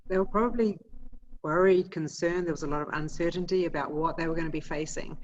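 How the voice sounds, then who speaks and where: Low-pitched woman in her forties with a New Zealand accent, earnest and reflective, heard through slightly muffled video-call audio. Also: fast talker. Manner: earnest and reflective, searching for words as she goes. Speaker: student ministry staff worker. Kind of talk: informal talk on a video call.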